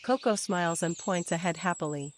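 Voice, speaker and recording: A woman narrating a scripted children's bedtime story in simple, deliberate sentences. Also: on the low side, slight background noise. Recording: faint birdsong and nature ambience. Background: birdsong, crickets, forest ambience.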